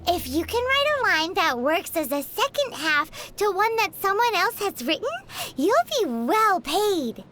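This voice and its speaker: A tiny floating creature from a game, a cheeky and teasing companion. Her voice sits extremely high and swings widely as she chatters, stretching her words out slowly. A faint background hiss is present. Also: sing-song, sharp tone, exaggerated voice. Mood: chatty. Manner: bubbly, teasing, refers to herself by name. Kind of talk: video game voice acting.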